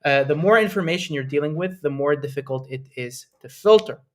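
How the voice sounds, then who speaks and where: A young man from Lebanon presents a live online webinar. His voice sits a little higher than most men's, and he talks in an enthusiastic, chatty way, with many "uh"s and "right?"s. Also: lively intonation, brisk. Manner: enthusiastic, conversational, with frequent "uh" and "right?".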